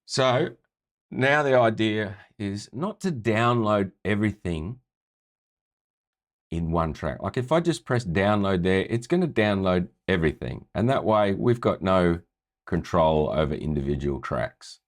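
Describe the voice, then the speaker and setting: A man with a low voice talking casually as a music tutor, walking through a screen-share tutorial and often checking in with "right?". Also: animated, measured pace.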